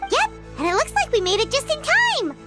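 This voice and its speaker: Squeaky, tiny childlike fairy companion. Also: female voice, wildly swooping pitch, fairly quick, sharp tone, heavily acted. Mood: astonished. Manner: exclamatory, refers to self in third person. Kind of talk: video game voice acting. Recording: music behind the voice.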